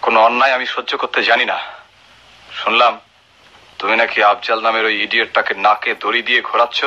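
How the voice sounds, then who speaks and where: An actor with a Bangladeshi accent playing a bold, blunt former freedom fighter, a husky and deliberate speaker in a radio drama. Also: male voice, fairly low pitch, flat delivery. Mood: stern, challenging. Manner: forceful, deliberate. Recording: dull old radio recording.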